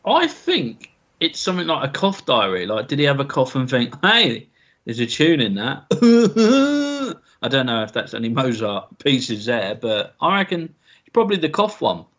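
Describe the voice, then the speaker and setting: A man's voice: mid-range voice, wildly swooping pitch, moderate pace, fairly bright tone, highs cut off. A man co-hosting a comedy podcast, answering quiz questions with jokes and laughs.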